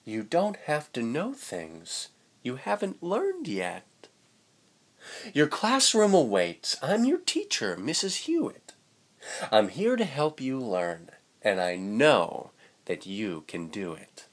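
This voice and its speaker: A man's voice: medium pitch, huge pitch swings, slow pace, heavily acted. A man voicing a kind, encouraging female fish schoolteacher in a storybook, reassuring and lilting. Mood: gentle, reassuring.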